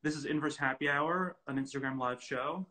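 A man's voice: on the high side, moderate pace, bright, forceful tone, low-quality recording. A young man in his twenties casually hosting a live interview and putting questions to a guest. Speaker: host.

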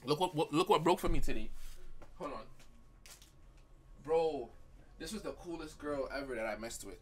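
A young man chatting casually on a live stream, slangy and animated as he reacts to viewer comments. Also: on the high side, moderate pace. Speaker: livestreamer.

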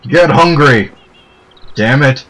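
A young man with a deep voice giving casual, joking let's play commentary, rambling and laughing as he plays. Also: slow pace, a bit bright.

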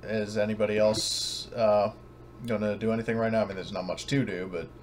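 A man narrating and refereeing a tabletop game as game master, halting and often correcting himself, over an online voice chat. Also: lowish voice, level tone. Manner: halting, hedging, self-correcting. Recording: noisy online voice chat recording.

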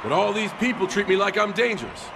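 An actor playing an earnest, defiant wrestling hero, giving an emphatic promo to an arena. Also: male voice, high voice, big pitch swings, fast, gravelly, full character voice. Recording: loud arena crowd noise.

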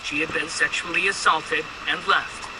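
A man's voice: a little high, fairly even intonation, moderate pace. A man in his thirties reporting live on TV from outside a hospital at night. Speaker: TV field reporter. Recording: TV broadcast replayed, noisy.

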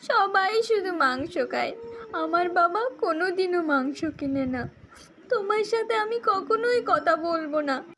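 Little village girl, extremely high voice, childish, pleading, sulky, faint noise behind, cartoon. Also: expressive, unhurried, a bit bright, full character voice. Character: farmer's little daughter, pleading then sulky.

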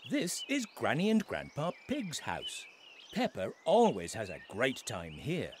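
Male children's game narrator, friendly, encouraging, storybook style, hugely animated intonation. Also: a little slow, slight background noise. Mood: friendly guiding. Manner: clear, encouraging, highly expressive. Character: friendly storybook narrator guiding young players.

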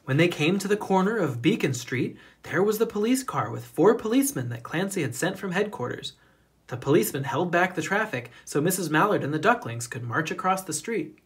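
A storybook reader narrating a children's picture book in a deliberate, expressive storytelling manner. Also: mid-range voice.

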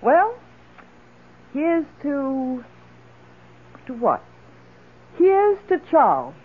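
A woman's voice: high-pitched, sing-song, extremely slow, full character voice. An actress playing an elderly, tipsy woman, wistful and fond, in theatrical 1970s radio drama style. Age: older. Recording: muffled old radio broadcast.

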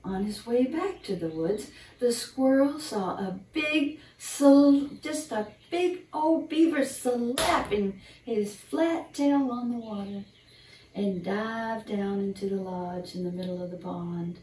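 An older woman reads a children's picture book in a gentle, unhurried, expressive bedtime style, talking to young listeners. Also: fairly high pitch. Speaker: storyteller reading a picture book. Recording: roomy, distant mic, faint background noise.

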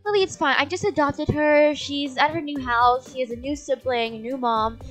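A kind adoptive mother, played by a woman in a game roleplay. She speaks in a high, chipper, accommodating way over faint music. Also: fairly even intonation, normal speed, a bit bright. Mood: placating. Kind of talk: improvised video game roleplay.

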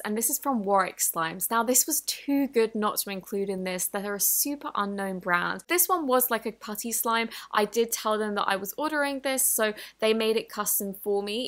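Woman doing a chatty casual product review voiceover, bright crisp sounding tone, medium pitch. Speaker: video host, product reviewer.

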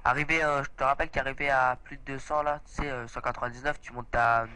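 A man's voice: medium pitch, quick pace, smooth, poor audio. A young man, a bit stuffy from a cold, hosting a casual let's play chat and exclaiming often. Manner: excitable, chatty, often exclaims. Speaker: gaming channel host.

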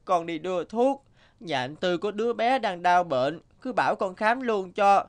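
A man's voice: sits high, expressive, normal speed. A man playing a naive young apprentice healer in a cartoon, speaking in an earnest, plaintive way. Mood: plaintive explaining. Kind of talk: dubbed cartoon dialogue.

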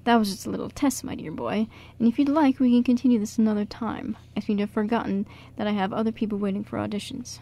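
A woman acts a theatrical stage director, a genial man with a jaunty, mock-formal way of talking. The pitch is middling for a woman, in amateur radio-play style. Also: steady tone, quick pace, creaky, hardly any pauses, warm tone. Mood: playfully grand. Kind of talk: amateur radio drama acting.

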